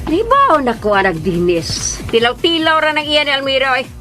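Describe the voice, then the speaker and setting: A woman's voice: sits high, big pitch swings, normal speed, over music. A woman playing a bossy, insulting older woman who scolds the help, in Filipino radio drama style with a Bisaya accent. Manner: scolding, imperious.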